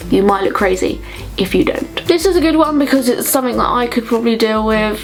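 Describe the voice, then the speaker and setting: High-voiced young southern English woman vlogger. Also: a little fast, faint music behind. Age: young adult. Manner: playful, sarcastic asides with mild swearing. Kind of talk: chatty comedic vlog talk to viewers.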